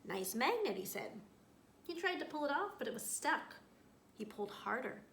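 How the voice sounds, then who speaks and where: A woman with a fairly high voice reads a picture book to children as a school librarian. She tells it expressively and turns to her listeners with small asides. The room echoes around her and there is some background noise. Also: animated, moderate pace, a bit bright. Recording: roomy echo, clear background noise.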